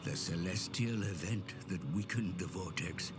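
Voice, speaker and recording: A man voicing a grim, ominous narrator of dark fantasy game cutscenes, gravelly and theatrical, with breathy phrase endings. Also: deep voice. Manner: slow, theatrical, portentous. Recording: loud music under the voice.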